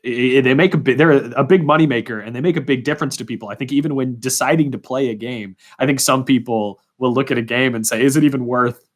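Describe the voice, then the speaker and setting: A man's voice: mid-range voice, fast talker. A man interviewing as a podcast host in a casual, informal way, often stumbling over his words.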